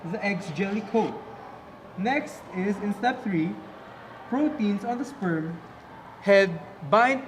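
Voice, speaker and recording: Man, high voice, slow scripted explainer, faintly noisy amateur recording. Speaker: student narrator and presenter. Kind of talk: scripted narration in a biology puppet show.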